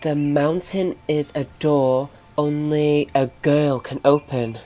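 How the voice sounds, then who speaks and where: Actor as thoughtful halting speaker, really slow n mellow, slightly muffled audio play. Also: mid-range voice, steady tone, lightly acted. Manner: halting, deliberate. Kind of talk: audio drama dialogue. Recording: muffled, dull sound.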